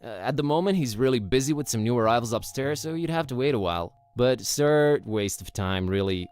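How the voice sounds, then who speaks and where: A man playing an easygoing, slangy young student librarian in an animated video game, casual and wryly dismissive. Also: medium pitch, a little fast, clear, resonant tone, close to an everyday voice. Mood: dry, dismissive.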